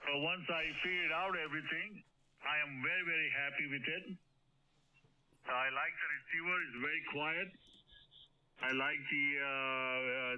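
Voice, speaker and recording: A man on a ham radio net, chatting casually and haltingly, with many fillers and repeated words. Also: on the high side. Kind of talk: casual on-air radio chat. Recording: dull radio audio with clear static.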